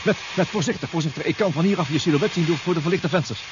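Man acting worried protective sub crewmate, highish voice, careful instructions, muffled old radio play. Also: fast talker, lightly acted. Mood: worried, urgent. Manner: cautioning, instructive. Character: protective, anxious male submarine crewmate guiding a diver. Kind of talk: 1970s Dutch science-fiction radio drama acting. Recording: dull, noisy 1970s radio recording.

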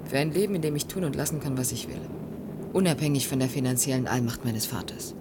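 A woman's voice: very deep voice, fast, background noise. An actress playing a proud, wary young businesswoman in a German radio play, cool and pointed in her delivery. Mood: proud, bitter. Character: proud, wary young businesswoman seeking independence from rich father.

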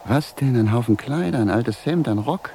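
An actor playing a weary, freezing wanderer who muses theatrically to himself in a radio drama. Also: male voice, mid-range voice, expressive, normal speed, creaky, dark tone. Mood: surprised, pleased. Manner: theatrical musing, talking to himself. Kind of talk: German radio drama acting, soliloquy. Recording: noisy 1970s radio drama recording.

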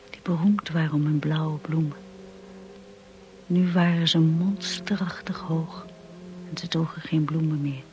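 An actress playing a wistful, shy young woman narrating her memories in a radio drama, hushed, breathy and dreamy. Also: sits low. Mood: dreamy, haunted.

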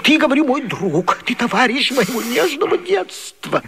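An actor playing a flustered, pompous gentleman in a radio comedy, agitated, stammering and pleading in indignant bursts. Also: extremely high pitch, sing-song, normal speed, creaky, slight background noise, exaggerated voice. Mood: frantic, confessing. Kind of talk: classic radio comedy vaudeville acting.

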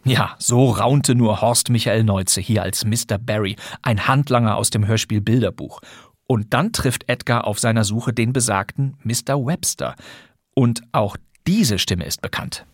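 A man's voice: lowish voice, unhurried, husky, no echo. Chatty male podcast host, close dry sound. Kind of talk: scripted presentation of classic radio plays.

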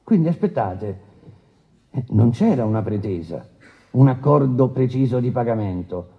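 An actor playing a blunt, sardonic judge who questions defendants insistently in a courtroom radio drama. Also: male voice, medium pitch, big pitch swings, normal speed. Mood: sardonic, pressing. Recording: dull lo-fi sound.

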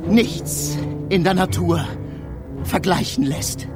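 Wonderstruck traveler, very high voice, slow deliberate delivery, literary radio drama. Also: male voice. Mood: grave. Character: male traveler narrating a fantastical beast battle with wonder. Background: music.

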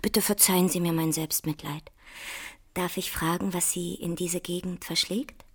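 A woman's voice: lowish voice, steady tone, moderate pace, husky. A woman acting in a German radio play as a melancholy signal box keeper with a wistful, plaintive way of speaking.

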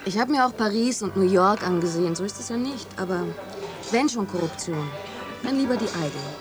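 A woman's voice: medium pitch, animated, fairly quick, close to an everyday voice. An actress playing a cynical, mocking young woman student in a radio play. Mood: sardonic. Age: young adult. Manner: sardonic, mocking. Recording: radio play with music behind.

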